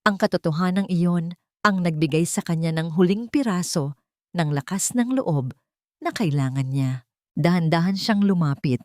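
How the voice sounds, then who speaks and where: A woman's voice: deep voice, a little mellow, no echo, clean studio sound. A woman with a Filipino accent reading a scripted romance story as a dramatic narrator.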